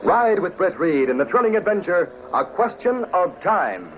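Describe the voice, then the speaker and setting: A man's voice: sits high, very animated, moderate pace. A man with an American accent narrating a 1940s radio drama in a declamatory, theatrical way. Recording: noisy, muffled 1940s radio recording.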